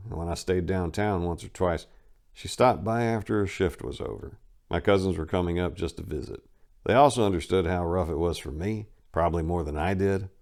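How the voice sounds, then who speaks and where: A man's voice: sits low, a little mellow. A man giving a first-person dramatic reading of a memoir, telling it bluntly and wryly with sudden emphatic lifts in his voice. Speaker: narrator reading a memoir aloud.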